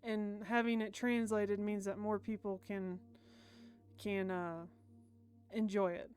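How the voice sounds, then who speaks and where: Casting: American female game streamer, mid-pitched, casual, stammering commentary over faint game music. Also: little pitch movement, slow pace, darkish tone, smooth. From United States. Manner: stammers and repeats words. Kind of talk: casual livestream commentary and reading aloud.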